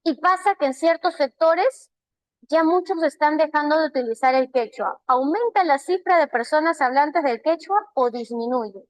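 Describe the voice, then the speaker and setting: Woman, Peruvian Spanish accent, online language teacher, encouraging, rhetorical questions, high voice, dry close sound. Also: normal speed, no room sound. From Peru. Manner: warm and encouraging, praising students by name; asks many rhetorical check questions. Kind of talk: live online class, answering student chat questions.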